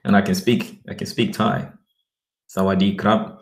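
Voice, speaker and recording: A man talking casually to his viewers, rambling and often checking in with "okay" while reading web pages aloud slowly. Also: low voice, flat delivery. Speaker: vlogger. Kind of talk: casual talk to camera.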